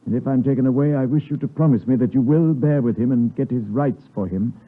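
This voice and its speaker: An actor playing a respectable but troubled British doctor in a 1950s radio drama, formal and halting, gravely earnest. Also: male voice, mid-range voice, natural voice. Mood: earnest pleading. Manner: formal, halting under questioning. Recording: muffled, lo-fi 1950s radio broadcast.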